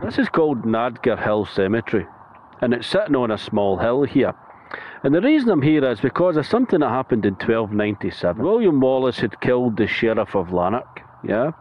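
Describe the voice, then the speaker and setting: A man's voice: mid-range voice, sing-song, fairly quick. A Scottish man narrating casually from a motorbike as a chatty tour guide, often checking in with "yeah?". He rides outdoors, speaking through a helmet mic. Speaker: motovlogger. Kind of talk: casual ride-along narration. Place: outdoors on a moving motorbike, then stopped by a roadside. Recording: muffled, with loud wind and engine noise.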